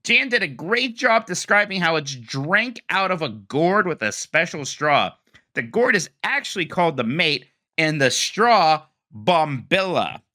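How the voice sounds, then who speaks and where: A man's voice: on the high side, measured pace, no echo, studio quality. A man co-hosting a casual podcast who reads listener emails aloud and pokes fun at his own stumbles. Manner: animated, self-mocking when stumbling over words. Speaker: podcast co-host.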